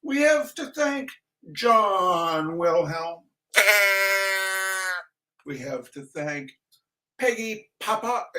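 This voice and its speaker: A man's voice: high-pitched, sharp tone, poor audio. A man hosting a livestream in a casual, rambling way, with theatrical, mock-grandiose flourishes. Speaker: livestream host and reader. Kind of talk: casual rambling livestream introduction with shout-outs.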